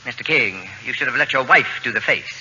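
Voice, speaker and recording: Pompous, fast-talking haughty gentleman. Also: mid-range voice, animated, breathy edge, heavily acted. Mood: dry, superior. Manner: pompous, precise, acerbic, formal diction. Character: haughty, fastidious know-it-all live-in male helper, middle-aged. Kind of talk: 1940s radio comedy acting. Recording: dull, noisy old radio broadcast recording.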